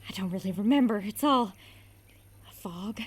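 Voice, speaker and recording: An actress playing a frazzled cook who has gone dazed and eerily calm. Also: female voice, medium pitch, normal speed, husky, natural voice. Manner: dazed, dreamy and unsettled. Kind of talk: audio drama acting.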